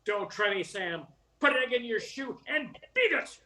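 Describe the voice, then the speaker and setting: Man playing a stern small-town police inspector, bright and forceful. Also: extremely high pitch, expressive, normal speed, heavily acted. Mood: stern, impatient. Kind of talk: amateur noir comedy radio play.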